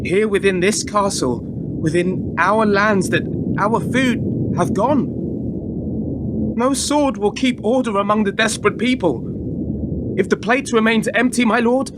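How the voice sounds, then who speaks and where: A man's voice: high voice, a little slow, pauses often, very noisy. A man playing a dutiful, earnest lord's son in a medieval radio play, speaking formal, deferential, archaic lines. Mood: earnest, concerned.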